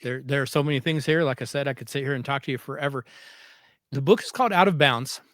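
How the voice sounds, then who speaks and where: A man's voice: medium pitch, quick pace, a bit bright, dry recording, clean studio sound. A man hosting a conversational podcast interview, speaking emphatically and repeating words for stress. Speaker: podcast host interviewing a guest.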